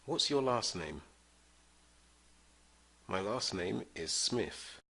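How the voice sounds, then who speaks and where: A man reads simple English greetings and phrases for beginners, pausing so learners can repeat. His delivery is slow and deliberate with a lively rise and fall, and his voice is bright with a faint husky edge. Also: fairly low pitch. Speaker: English teacher. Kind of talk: slow scripted language-lesson reading.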